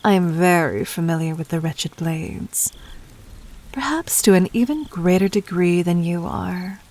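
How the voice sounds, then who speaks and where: A woman playing a poised, seductive demon queen in an intimate audio drama, breathy and husky, speaking with formal, teasing condescension. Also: fairly low pitch, a little slow, a little mellow. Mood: knowing, commanding.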